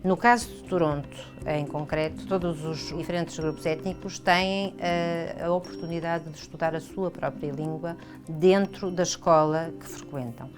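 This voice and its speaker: A woman with a European Portuguese accent answers in an interview, in a measured, hesitant way. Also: low-pitched, steady tone. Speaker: language institute coordinator being interviewed. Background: background music.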